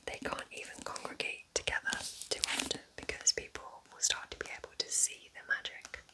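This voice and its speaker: Soft breathy whisper, ASMR book recommender. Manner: soft, unhurried, gently enthusiastic. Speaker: ASMR host recommending books.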